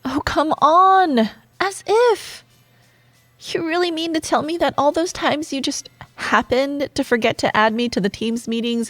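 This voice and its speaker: A woman playing a sardonic, secretly soft female office rival in a romantic audio roleplay, teasing and expressive, with dramatic pauses. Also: high voice, dry recording, lightly acted. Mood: exasperated, accusing.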